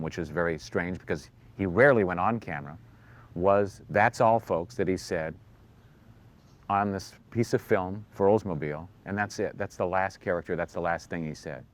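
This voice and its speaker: A man speaking in a plain, matter-of-fact way as he recalls memories in an interview. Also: sits low. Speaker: interviewee recalling his father. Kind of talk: reminiscing in a documentary interview.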